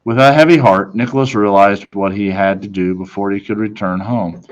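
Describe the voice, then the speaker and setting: A man reading a children's storybook aloud in a dramatic manner, with deliberate pauses. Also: fairly low pitch, lo-fi sound. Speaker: storybook reader.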